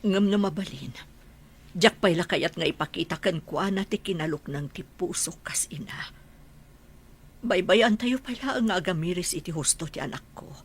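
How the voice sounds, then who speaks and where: A woman's voice: on the low side, moderate pace, breathy edge. A woman acting as a hurt, weary middle-aged mother in a radio drama, plaintive, with an Ilocano Filipino accent. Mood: sorrowful. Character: hurt, weary mother doubting her wayward son.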